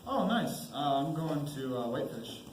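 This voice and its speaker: Young soldier for a school stage play, male student actor, nervous and halting, heard through room echo and clear noise. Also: on the high side, sing-song. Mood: awkward, nervous. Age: teens. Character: anxious young army sergeant trapped on a mine. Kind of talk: amateur stage play acting. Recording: roomy, noisy school stage recording.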